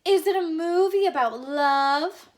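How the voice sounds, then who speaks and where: A woman's voice: extremely high pitch, a little slow, voice pushed up. A woman voicing a dreamy, romantic older woman in a storybook read-aloud, with a wistful, pleading manner.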